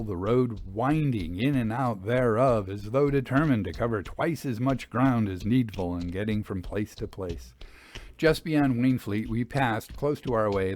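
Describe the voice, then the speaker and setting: A man narrating an old-fashioned literary story in a measured, expressive storytelling manner. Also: medium pitch. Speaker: audiobook reader. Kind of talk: scripted literary narration of a Victorian travel memoir.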